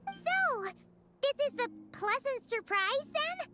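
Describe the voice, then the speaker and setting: A woman voicing a tiny, cheeky, excitable fairy companion in a video game, with a squeaky, childlike, bouncy delivery. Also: takes it slowly, over music, exaggerated voice. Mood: curious, excited. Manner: talks about herself in third person, bouncy. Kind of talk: scripted video game dialogue.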